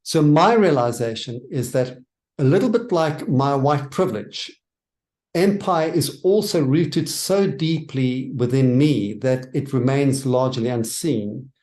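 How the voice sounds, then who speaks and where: Brief: South African man of middle pitch, church author giving measured, earnest interview answers. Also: darkish tone.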